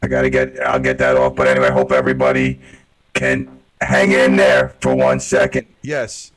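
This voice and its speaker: Male radio host, extremely low robot-effect voice, casual live chat, rambling, flustered, informal. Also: monotone, fairly quick, fairly bright tone, poor audio. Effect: robot voice changer.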